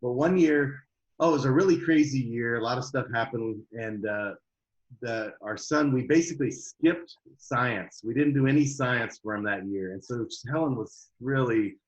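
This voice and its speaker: A middle-aged man speaking as an interview guest, telling personal stories in an animated, conversational way. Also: mid-range voice, moderate pace, dull audio. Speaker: guest, homeschooling parent.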